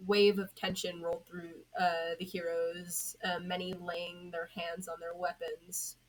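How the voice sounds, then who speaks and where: A woman's voice: fairly low pitch, flat delivery, unhurried, slight background noise, poor audio. A woman reading scripted fan fiction narration as an amateur narrator, occasionally stumbling over words.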